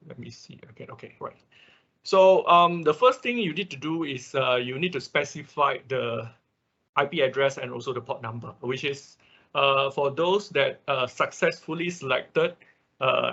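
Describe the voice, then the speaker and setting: A man teaching a software tutorial step by step in an unscripted, halting way, often marking steps with short filler confirmations. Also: mid-range voice, gravelly. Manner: halting, often repeats "okay" and "right" as markers. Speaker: workshop instructor. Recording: dull lo-fi online class audio.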